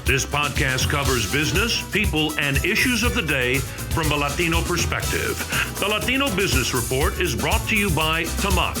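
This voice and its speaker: A man reading a podcast's opening introduction in an upbeat announcer style. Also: fairly high pitch. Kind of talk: scripted show intro. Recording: announcer over clear music bed.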